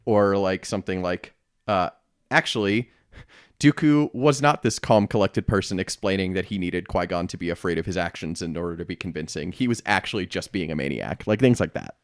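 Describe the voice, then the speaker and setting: A man co-hosting a podcast, explaining his points in a halting, self-correcting, casually analytical way. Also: deep voice, no echo, clean studio sound. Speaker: podcast co-host.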